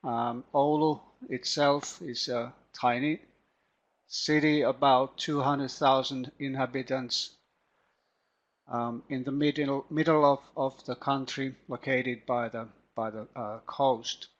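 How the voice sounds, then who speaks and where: A middle-aged man with a Finnish accent gives an online lecture as a teacher trainer, speaking haltingly and often repeating words. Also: mid-range voice, steady tone. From Finland. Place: home study room. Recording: muffled lo-fi online call audio.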